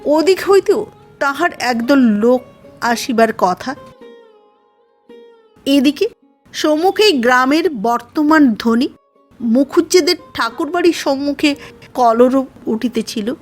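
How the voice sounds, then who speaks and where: A woman narrating a literary audio story in a measured, formal reading manner. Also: high-pitched, lively intonation, soft background music.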